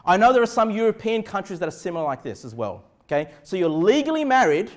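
High-voiced Australian pastor preaching. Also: male voice, very animated, fast. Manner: emphatic, conversational preaching with check-in tags. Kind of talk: sermon. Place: indoor church pulpit in a small room. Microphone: clip-on lapel mic.

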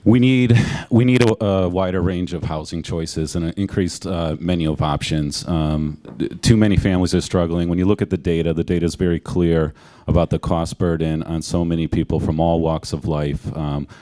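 A man with a very deep, dark-toned voice speaks on a public panel. He pleads with the audience and urges them to act. He is recorded on a stage in an auditorium. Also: fairly even intonation, moderate pace. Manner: urgent, pleading. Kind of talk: panel discussion answer.

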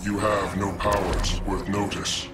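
Low emotionless robot villain, stiff formal menace, loud game music behind. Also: male voice, flat delivery, a little slow. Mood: cold menace. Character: cold emotionless male cyborg machine intelligence. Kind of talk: video game villain dialogue.

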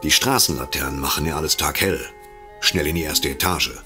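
A man's voice: extremely low pitch, brisk. An actor playing a furtive snoop in an audio drama, muttering to himself as he sneaks about. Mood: furtive, smug. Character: furtive male snoop narrating his own break-in. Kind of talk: German audio drama acting. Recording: loud music behind the voice.

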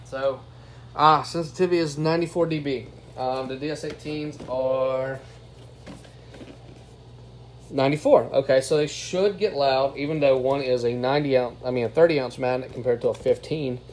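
A man's voice: mid-range voice, darkish tone. A man giving a casual hands-on product review in an informal, plain-spoken way. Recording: clear background noise, room ambience.

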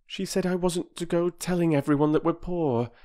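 A man's voice: a little high, steady tone, normal speed, mellow, voice pushed up, close to an everyday voice. A man reading aloud and voicing an earnest, anxious young girl with eager, pleading questions.

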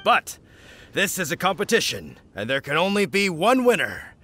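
A man playing a hearty village hunter in a video game, speaking in a grandiose, deliberate and dramatic way. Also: high-pitched, big pitch swings, bright, forceful tone, heavily acted. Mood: grand, solemn. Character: hearty village hunter acting as solemn contest judge. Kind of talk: video game character acting.

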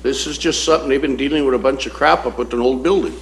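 A man's voice: mid-range voice, fast talker. A man on a city council speaking in a plain-spoken, rambling way, with many "you know"s. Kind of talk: casual meeting discussion. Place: council chamber.

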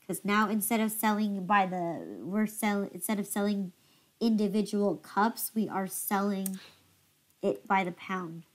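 A woman's voice: mid-range voice, measured pace. A woman working through a bookkeeping exercise with classmates, checking figures aloud and explaining each step. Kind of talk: casual study session.

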